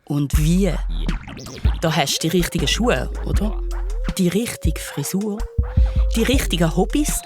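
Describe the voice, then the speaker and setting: A woman's voice: on the low side, lively intonation, unhurried, pauses often, music in the background. A woman with a Swiss accent narrating a children's story in a chatty, wry, conspiratorial way.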